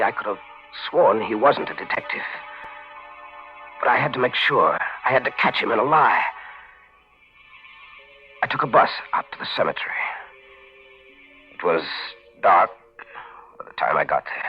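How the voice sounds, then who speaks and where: An actor playing a bewildered, desperate man telling his own nightmare with intense 1940s radio mystery drama. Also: lowish voice. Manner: intense, dramatic first-person delivery. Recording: dull vintage 1940s radio recording, music behind.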